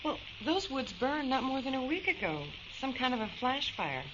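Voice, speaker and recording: An actress playing a scientist's gentle, mildly anxious wife in a radio drama, speaking softly and conversationally. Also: female voice, high-pitched, very animated, moderate pace. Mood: uneasy. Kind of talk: naturalistic radio drama dialogue. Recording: dull audio with clear background hiss.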